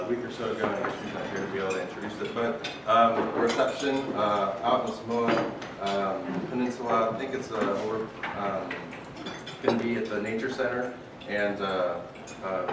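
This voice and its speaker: A man hosting a club meeting, giving casual announcements with many fillers and pauses. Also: fairly low pitch. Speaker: meeting host. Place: banquet room with dishes clinking. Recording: echoey room from far off, loud noise.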